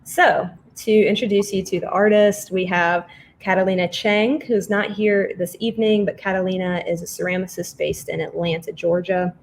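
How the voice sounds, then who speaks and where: A woman's voice: fairly low pitch, flat delivery, moderate pace, slight background noise. A woman hosting an online artists' panel, introducing guests in a conversational way over a video call.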